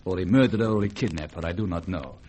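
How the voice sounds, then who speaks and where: An actor playing a deferential, gossipy Creole government aide with a French accent, telling tales politely and haltingly in a vintage radio drama. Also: male voice, deep voice, dark tone, breathy edge. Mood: confiding.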